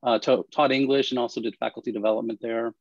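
A man on a panel video call, talking haltingly with many fillers and restarts. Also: mid-range voice, fairly even intonation, no echo. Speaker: panelist, English lecturer. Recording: dull, dry video call audio.

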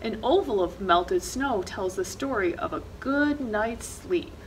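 A woman's voice: medium pitch, expressive, a little slow, slight background noise, low-quality recording. A middle-aged woman reading a children's picture book aloud expressively, with dramatic emphasis on action words. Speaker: librarian reading storytime. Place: indoor library reading corner, seated.

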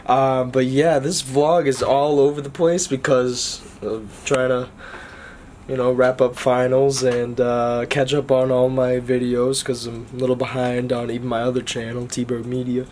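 A man's voice: mid-range voice, flat delivery, measured pace, a little mellow, slight background noise. A young adult man with a slightly lost voice, chatting casually and jokingly to camera in a small room as he vlogs. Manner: joking, rambling. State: slightly lost voice after illness. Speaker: vlogger, college student. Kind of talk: casual vlog chat to camera. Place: small dorm room, indoors. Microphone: handheld camera at arm's length.

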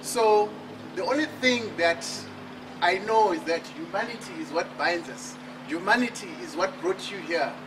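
A man from Zimbabwe with a high voice delivers an emphatic motivational talk on an outdoor terrace at night. He is picked up from a distance by the camera, with steady outdoor noise behind him. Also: normal speed. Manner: emphatic, rhetorical questions. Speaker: author and speaker. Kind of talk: motivational speech at a book launch. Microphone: far camera.

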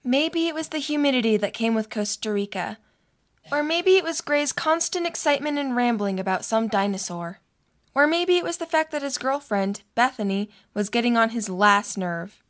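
A woman's voice: on the high side, animated, moderate pace. A woman reading scripted narration for a story.

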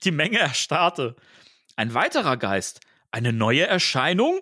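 Animated German man, dry close sound. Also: a little high, slow pace, breathy edge, no room sound. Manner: joking asides, dramatic emphasis while reading. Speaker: podcast co-host reading a film novel aloud. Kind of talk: playful story reading with casual banter.

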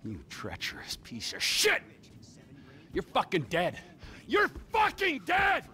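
An actor playing a volatile, menacing middle-aged criminal in a video game, ranting and profane, with a gravelly voice. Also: male voice, extremely high pitch, wildly swooping pitch, slow, pushed and bright, heavily acted. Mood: furious. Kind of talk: dramatic video game cutscene acting.

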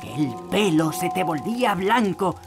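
A woman's voice: lowish voice, sing-song, measured pace, over loud music. A woman voicing an eager young male apprentice builder in a children's cartoon, with a Castilian Spanish accent. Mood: spooky storytelling. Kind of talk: animated children's cartoon dub.

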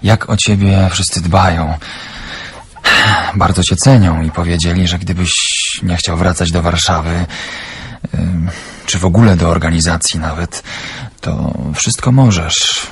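A wry underground contact in a radio play, played by an actor. His voice is deep and husky, and he jokes dryly with a friend. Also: fairly even intonation, measured pace, exaggerated voice.

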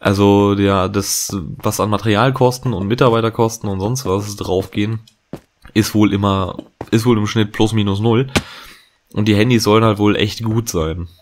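A low-voiced man talks casually over his gameplay, drifting from thought to thought with plenty of fillers and self-corrections. A faint hiss and the odd click sit under his voice, as from a home desk mic. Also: steady tone, normal speed. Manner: chatty, rambling, many fillers and restarts. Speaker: let's play host. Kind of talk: casual gaming commentary.

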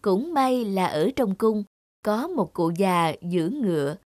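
A woman narrator reading a scripted story for an animated tale. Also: medium pitch, slow, no echo, clean studio sound.

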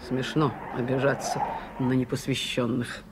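A man's voice: medium pitch, level tone, moderate pace, lightly acted. A performer with a voice heard as male, playing a proud, scornful believer in the occult in a Soviet radio play, speaking with irony. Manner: scornful, ironic. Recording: noisy 1980s radio play recording.

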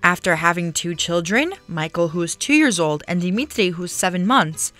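Lively woman reading documentary narration. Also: on the low side, normal speed, soft background music. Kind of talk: scripted voice-over narration.

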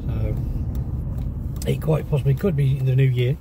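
A man's voice: medium pitch, moderate pace, vocal fry. A man, chatting casually to viewers from his moving car, rambling with lots of ums and uhs. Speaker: car channel host. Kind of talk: casual chat while driving. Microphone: phone camera on dashboard. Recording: loud road noise in car. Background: engine and road noise.

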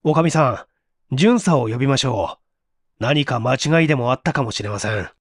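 A man's voice: medium pitch, expressive, fairly quick, dry recording, studio quality, natural voice. A man playing an earnest, urgent male lodger in a dramatized reading of a mystery story. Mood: concerned, firm.

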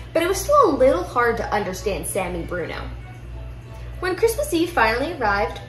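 A young woman reads a children's picture book aloud with expressive storytelling in a living room. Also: fairly high pitch. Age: young adult. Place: indoor living room at home. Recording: roomy echo, music behind.

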